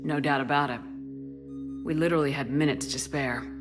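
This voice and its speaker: A woman's voice: low-pitched, fairly even intonation, a little fast, music in the background, natural voice. An actress playing a tough, resolute female military commander in a sci-fi video game, terse and matter-of-fact. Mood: guarded, firm. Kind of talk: video game dramatic acting.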